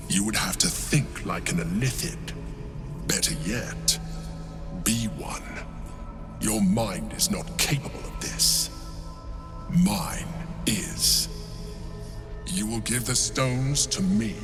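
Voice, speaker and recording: Casting: calculating male mind flayer, game drama, deep-leaning, slow, commanding, patient. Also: lively intonation, music in the background. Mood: cold insistence. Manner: measured, superior, deliberate. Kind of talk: video game dramatic acting.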